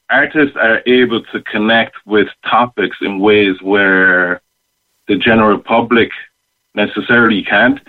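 Earnest man on a tinny phone line. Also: lowish voice. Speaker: interview guest, rapper and youth arts worker. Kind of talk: thoughtful interview talk. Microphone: phone call.

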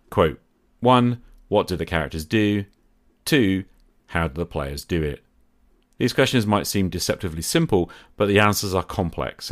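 A man presenting a scripted solo podcast as its host, speaking in a measured, deliberate way with emphatic phrasing. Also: sits low.

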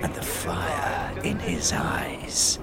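A man's voice: on the high side, steady tone, very slow. A man playing a wary, greedy, half-mad beggar storyteller in an audio drama, repeating his phrases in twisted syntax and dropping into dramatic whispers. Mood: feverish, menacing. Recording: music under the voice. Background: music.

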